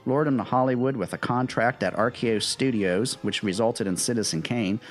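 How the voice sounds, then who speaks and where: A man's voice: mid-range voice, fairly quick. A man presenting a history segment in scripted narration, with the odd informal aside. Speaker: presenter of an old-time radio history segment.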